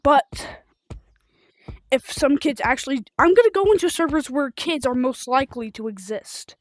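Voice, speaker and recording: A woman with a high voice and very lively swings in pitch, recorded close and dry. She rambles casually and excitably, like a game streamer talking to viewers in informal language. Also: normal speed, dry recording. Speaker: livestreamer of a video game. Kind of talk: rambling casual livestream chat while gaming.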